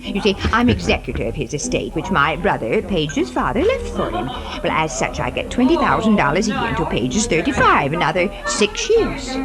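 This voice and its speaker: Fussy society matron in her fifties, imperious, brisk, very lively intonation, hard-drinking, 1940s radio acting, music underneath. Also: on the low side. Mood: brisk, businesslike. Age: 50s. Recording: vintage 1940s radio broadcast, music behind.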